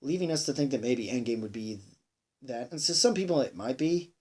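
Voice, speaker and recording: A man giving a casual, unscripted movie review, rambling and halting, repeating himself emphatically and sounding a bit tired. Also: mid-range voice, expressive, a little mellow, husky. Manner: sweary, emphatic. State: tired, sighing.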